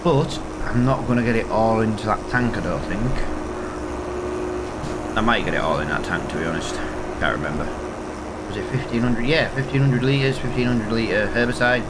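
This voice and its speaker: A man's voice: fairly low pitch, normal speed, heavy background noise. A man with a congested, sniffly voice, casually thinking aloud with lots of fillers while playing a farming game. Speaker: gaming channel host. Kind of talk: casual let's-play commentary.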